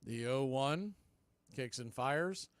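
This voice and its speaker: A man's voice: medium pitch, animated, takes it slowly, smooth, no room sound. A man giving live baseball play-by-play as announcer and host, speaking in clipped phrases with brief asides.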